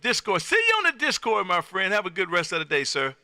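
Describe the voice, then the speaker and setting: A man's voice: high-pitched, huge pitch swings, fast, bright, forceful tone, no room sound, clean studio sound. An American man hosting a live ham radio stream, folksy and exuberant, repeating his phrases as he chats on air. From Texas, United States. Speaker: amateur radio operator and livestream host.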